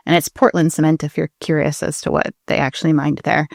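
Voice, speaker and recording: Woman, slightly low voice, casual podcast talk, informal, explanatory, very little room sound. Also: level tone, quick pace, vocal fry, dry recording, studio quality. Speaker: podcast co-host.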